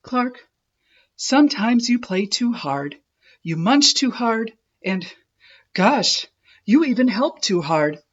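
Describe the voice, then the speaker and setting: A woman voicing a patient, kindly female schoolteacher in a children's storybook, gently admonishing in a measured way. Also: fairly high pitch, very animated, breathy edge, muffled recording, pitched above the performer's own voice, full character voice.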